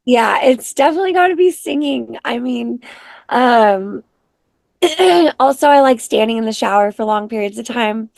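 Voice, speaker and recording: A raspy-voiced woman, a singer-songwriter guest, talking in an unhurried, soft-spoken way with many pauses. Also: a little high, animated, no echo. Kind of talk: casual interview chat.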